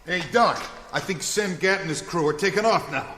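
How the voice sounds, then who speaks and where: An actor playing an alert, plain-spoken adventurer on lookout in an old-time radio serial. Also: male voice, high-pitched, moderate pace, sharp tone, slight background noise. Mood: watchful, urgent.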